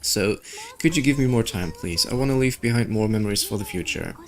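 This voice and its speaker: A man's voice: fairly low pitch, expressive, a little fast. A man who streams games, reading story dialogue aloud and commenting casually with offhand, skeptical asides. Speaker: gaming streamer. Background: game music.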